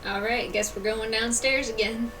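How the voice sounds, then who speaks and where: High-voiced woman streaming a game. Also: steady tone, moderate pace. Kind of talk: casual live commentary and reading aloud. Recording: distant mic with background noise.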